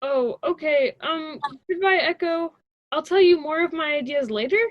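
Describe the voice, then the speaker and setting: High-pitched, grandiose college girl, played by a woman. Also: normal speed, muffled recording, close to an everyday voice. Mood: petulant. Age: young adult. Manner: grandiose, theatrical. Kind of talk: radio drama acting.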